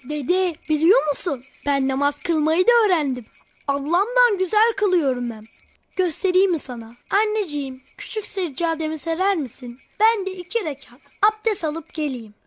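A woman voicing an eager, inquisitive, devout young child in a children's cartoon, talking in an excitable, childlike way. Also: very high-pitched, moderate pace, slight background noise. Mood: eager, proud. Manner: childlike, excitable, full of questions.

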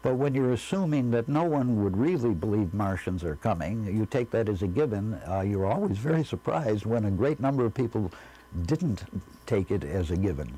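An older man, a director, giving careful, measured and reflective answers in an interview. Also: fairly low pitch, very animated, dark tone, slightly rough, rough recording. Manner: measured, careful, qualifying his statements. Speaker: radio drama director being interviewed.

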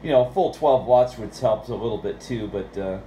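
A man's voice: medium pitch, normal speed, mellow. An American man chatting casually and at rambling length about technical matters as a radio operator. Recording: roomy, with background radio noise.

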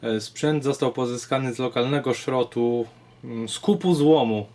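A man's voice: medium pitch, moderate pace, darkish tone. A man hosts a casual showcase of audio gear, explaining in short, unscripted, somewhat hesitant phrases. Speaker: host of an audio gear repair channel. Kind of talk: casual product showcase vlog.

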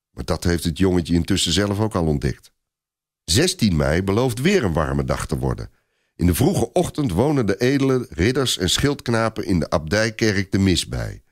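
A man's voice: low-pitched, dry recording, clean studio sound. A man narrating a story in a dramatic, scripted audiobook style.

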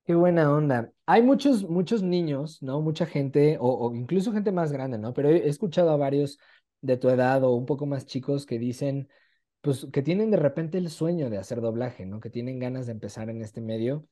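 Young man, Mexican accent, soft mellow tone, casual interviewer, encouraging, video call. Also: medium pitch, animated, normal speed. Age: 20s. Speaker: interviewer, host. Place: small room at home, indoors. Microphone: video call with wired earphones.

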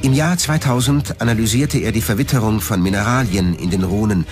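A man's voice: fairly low pitch, a little fast, over music. A man reading scripted documentary narration as the narrator of a history programme.

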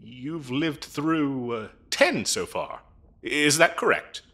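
Man playing a fussy, animated demon bureaucrat. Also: medium pitch, takes it slowly, exaggerated voice. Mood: surprised, businesslike. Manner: officious, hesitant, chatty, dryly polite. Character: fussy, bureaucratic male demon interviewer in hell. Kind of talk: comedic audio roleplay acting, addressing the listener.

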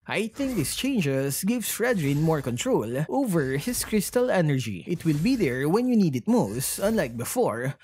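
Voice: medium pitch, darkish tone, breathy edge. A gaming video host reading scripted narration about game updates. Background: background music.

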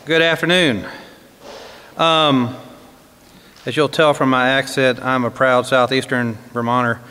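Middle-aged man from Southwestern Virginia, executive director giving a measured podium address; long hall reverb. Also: mid-range voice, fairly even intonation, pushed and bright, slight background noise. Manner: measured, with frequent fillers. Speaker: regional commission executive director. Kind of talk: prepared public address. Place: hall with a seated audience. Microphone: podium microphone.

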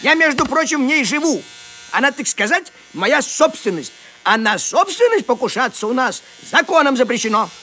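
An actor voicing a grumbling, thrifty, self-important talking male cat in an animated cartoon, with a folksy, indignant manner. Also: sits high, moderate pace, a little hiss or hum, exaggerated voice.

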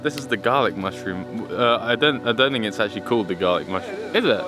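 A man's voice: fairly low pitch, quick pace. A man in his twenties hosting a vlog, talking and narrating casually. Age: 20s. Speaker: vlog host. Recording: music behind the voice.

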